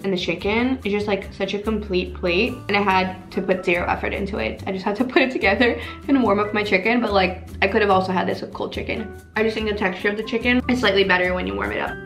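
A young woman in her twenties speaks in a casual, upbeat vlog style at an average pitch. She is recorded indoors at home on a handheld camera, with music playing behind her chatty talk. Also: fairly even intonation, fast, vocal fry, few pauses, fairly bright tone. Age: 20s. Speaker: lifestyle vlogger. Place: indoors at home, kitchen and living room. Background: background music.

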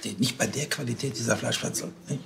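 A man's voice: medium pitch, fairly quick, vocal fry, fairly bright tone, slight background noise. An actor with a Bavarian accent playing a grumbling, sceptical police officer who tags questions onto his statements. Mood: deliberating. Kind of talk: naturalistic TV drama acting.

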